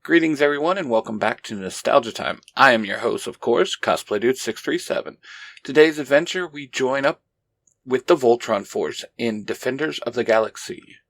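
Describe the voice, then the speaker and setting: A man's voice: medium pitch, lively intonation, moderate pace, gravelly, no room sound, soft background music. A man hosting a story show, reading a scripted, welcoming and promotional introduction. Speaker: host.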